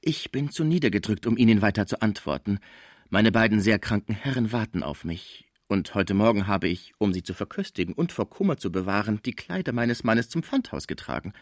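A man voicing a sly housekeeper woman over fifty, falsely naive and sharp-tongued, in a storybook reading. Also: lowish voice, animated, a little fast, no room sound, studio quality. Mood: mournful. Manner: feigned meekness, indignant.

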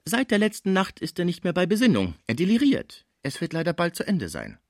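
A man voicing a sly housekeeper woman over fifty, falsely naive and sharp-tongued, in a storybook reading. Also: high-pitched, huge pitch swings, fairly quick, dry recording, studio quality, pitched above the performer's own voice, exaggerated voice. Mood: mournful. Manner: feigned meekness, indignant.

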